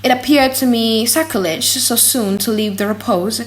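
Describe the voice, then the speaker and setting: A woman reading a gothic novel as an audiobook in a dramatic, theatrical and highly expressive manner. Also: normal speed. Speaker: audiobook narrator.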